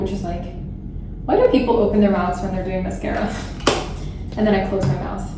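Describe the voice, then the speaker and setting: A young woman who grew up in Thailand, chatting casually through her makeup routine with lots of "like" fillers. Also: medium pitch. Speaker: beauty vlogger. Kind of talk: casual get-ready-with-me chat. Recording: roomy echo, distant mic, background noise.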